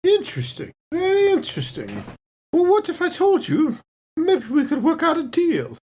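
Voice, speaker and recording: A woman playing a suspicious, smooth-talking female space station agent with arch, hesitant politeness in a tabletop game. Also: high voice, wildly swooping pitch, normal speed, a little mellow, slight background noise. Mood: conspiratorial. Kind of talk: improvised tabletop roleplay.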